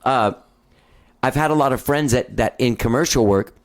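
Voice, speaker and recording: Rambling man hosting a chat. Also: moderate pace. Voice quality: high voice. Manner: rambling, swears freely, many "like" fillers. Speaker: podcast host. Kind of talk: casual interview chat.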